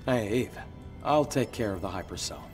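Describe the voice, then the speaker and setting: A man playing a calm, practical scout in a video game, briefing the team in a businesslike way. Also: fairly low pitch, moderate pace, soft background music, lightly acted. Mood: focused, calm. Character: calm, practical male scout and mission guide. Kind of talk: cinematic video game dialogue.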